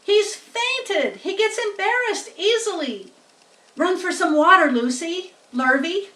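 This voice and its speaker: A woman acts out an excitable storybook farmer who hollers in a high, blustery voice. Words are stretched out loudly and ring in a roomy, echoing space. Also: slow pace, far from the mic, heavily acted. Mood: urgent. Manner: hollering, exclamatory. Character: excitable, blustering male farmer, proud of his pig.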